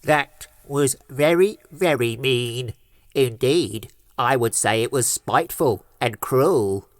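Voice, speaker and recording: Woman putting on a low, slow, stern elf. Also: no echo, studio quality, heavily acted. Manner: measured, calm, dryly mocking. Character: stern, sardonic male Christmas elf, taunting, unkind. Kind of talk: storybook character voice.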